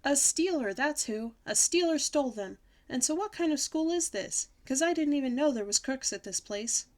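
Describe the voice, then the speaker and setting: A woman voicing an excitable, sassy, dramatic little kindergarten girl with childish, emphatic speech in a children's audiobook. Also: high voice, fairly quick, pitched above the performer's own voice. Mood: upset, indignant. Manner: childish bad grammar, indignant, emphatic. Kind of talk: children's audiobook character reading.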